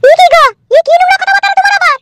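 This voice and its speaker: A woman voicing an indignant, quarrelsome wife in comic cartoon dialogue, shrill and scolding. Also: fairly even intonation, racing, a bit bright. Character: indignant, quarrelsome young wife defending her mother.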